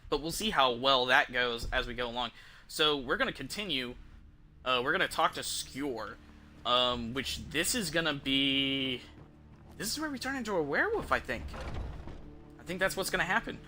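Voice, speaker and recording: A man's voice: mid-range voice, expressive, normal speed, pushed and bright, soft background music. A man who streams games, giving casual, rambling commentary and thinking aloud in informal, mildly profane language. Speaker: game streamer.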